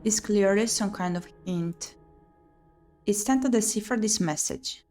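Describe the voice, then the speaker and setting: A woman's voice: medium pitch, a little slow, dark tone. Woman reading a game aloud over music. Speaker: streamer reading and playing a visual novel. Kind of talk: let's play commentary, reading game text aloud.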